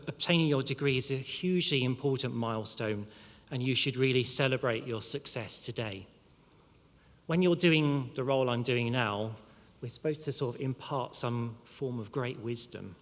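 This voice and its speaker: A man addressing graduates at a ceremony, speaking in a measured way with pauses and the odd filler. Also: medium pitch. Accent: non-local, not Mancunian. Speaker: university official giving a graduation address. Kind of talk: formal ceremony speech. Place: large hall. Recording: long reverb of a large hall.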